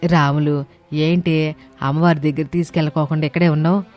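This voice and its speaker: A woman voicing a devout, reassuring village aunt in an animated moral story. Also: low voice, a little fast, pitched below the performer's own voice, natural voice. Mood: urging. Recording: music behind the voice.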